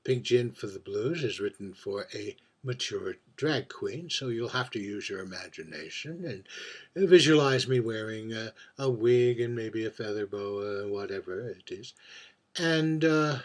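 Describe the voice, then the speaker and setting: A man with a husky voice informally introducing a reading of his own writing, halting and hesitant as he goes. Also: fairly low pitch, lively intonation. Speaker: writer reading own monologue.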